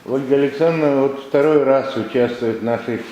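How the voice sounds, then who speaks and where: A man's voice: medium pitch, fairly quick, dark tone, highs cut off, a little hiss or hum. A man hosting a book presentation in a museum, introducing guests in a rambling way with many filler words. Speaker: presentation host. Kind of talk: informal introductions at an event. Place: museum hall. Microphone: distant room microphone. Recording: very echoey hall from far off.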